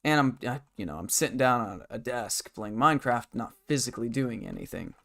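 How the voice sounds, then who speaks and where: Man, hoarse scratchy throat, casual game streamer, rambling chat, mid-pitched voice. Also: lively intonation, brisk, fairly bright tone. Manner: rambling, muttering to self and chat. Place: bedroom at a desk.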